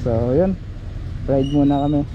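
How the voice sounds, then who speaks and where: A man's voice: mid-range voice, a little slow, dull audio. A Filipino man chatting casually as a motovlogger while riding, rambling and searching for words. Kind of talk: casual vlog chat while riding a motorcycle. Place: outdoors on a motorcycle on the road. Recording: loud road and engine noise. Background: vehicle and street noise.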